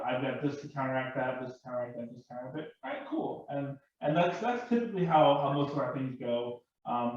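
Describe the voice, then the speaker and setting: A man on a panel casually explaining his hobby, halting and repeating words as he goes. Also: medium pitch, a little mellow. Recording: very echoey, dull, distant room audio.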